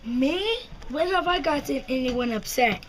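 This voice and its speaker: Mischievous scheming coyote played by a young girl, unhurried, high voice, puppet-show read over clear noise. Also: lively intonation. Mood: sly. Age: child, about ten. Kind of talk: reader's theater puppet show. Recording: noisy recording with clicks.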